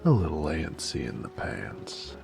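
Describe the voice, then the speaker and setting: A man's voice: very deep voice, wildly swooping pitch, unhurried, vocal fry, full character voice. A man playing a flirty, cocky mafia wheelman and former cabbie in a noir audio roleplay, with an American accent and a teasing, slangy tough-guy drawl. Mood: bored, teasing. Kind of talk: romantic noir audio roleplay, intimate one-sided dialogue. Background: music.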